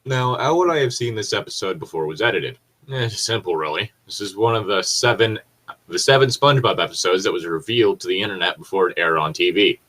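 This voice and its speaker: A man's voice: deep voice, expressive, quick pace, fairly bright tone. A man chatting casually as a podcast co-host, swearing freely, rambling and correcting himself as he goes. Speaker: podcast co-host reading and discussing creepypastas. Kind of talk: casual commentary chat.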